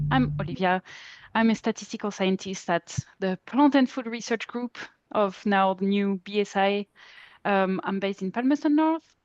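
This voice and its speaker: A woman's voice: mid-range voice, dry recording. A woman in her thirties from France with a French accent, introducing herself chattily as a guest speaker in a webinar. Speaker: guest speaker, statistical scientist. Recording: slightly dull lo-fi call audio.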